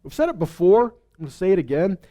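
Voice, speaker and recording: A man's voice: sits high, sing-song, quick pace, warm tone. A man giving a conversational Bible teaching, explaining didactically and often posing questions that he then answers himself.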